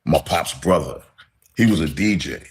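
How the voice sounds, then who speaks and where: Very deep-voiced man reminiscing. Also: normal speed, a little hiss or hum. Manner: reflective, casual storytelling. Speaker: documentary interviewee. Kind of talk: recalled life story.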